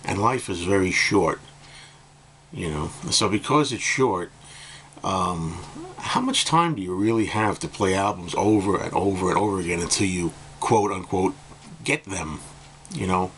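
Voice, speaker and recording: Low-voiced, slightly hoarse middle-aged man. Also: animated, unhurried, fairly bright tone, a little hiss or hum. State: slightly hoarse, just woken up. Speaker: music vlogger reviewing albums. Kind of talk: casual opinion talk to camera. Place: indoor room with bookcases at home.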